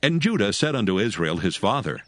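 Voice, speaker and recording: Deep, animated male scripture narrator. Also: a little fast, dry recording. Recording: loud music bed under voice.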